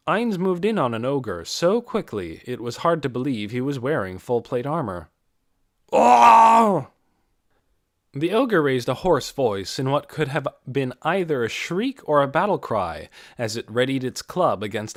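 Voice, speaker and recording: A man's voice: medium pitch, no echo, studio quality. A man reading a fantasy audiobook as dramatic scripted narration.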